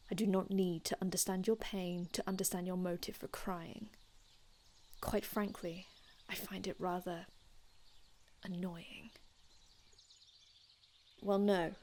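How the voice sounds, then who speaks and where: A woman playing an aloof, formal male vampire healer from another time in an ASMR roleplay, speaking in a measured, haughty, hushed manner with archaic phrasing. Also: medium pitch, level tone, a little hiss or hum, close to an everyday voice. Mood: cool, detached. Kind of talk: ASMR roleplay, scripted in-character monologue.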